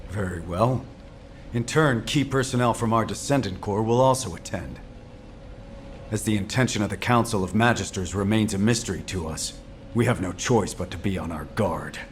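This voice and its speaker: A man's voice: lowish voice, normal speed, slight background noise, natural voice. A man playing a guarded military commander in a video game, with a stern, formal and firm delivery.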